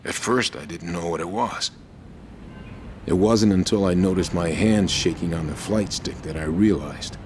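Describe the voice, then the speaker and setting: An actor playing a weary former fighter pilot, speaking quietly and with regret about his past. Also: low voice, moderate pace, slight background noise, natural voice. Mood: regretful. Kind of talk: reflective interview monologue.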